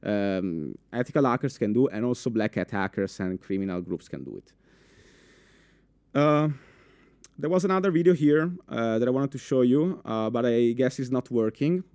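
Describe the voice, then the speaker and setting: A young man with an Italian accent giving a live conference talk in a full room, animated, with many fillers and restarts, asking the audience questions. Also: mid-range voice, normal speed, clean tone, dry recording. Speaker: conference speaker.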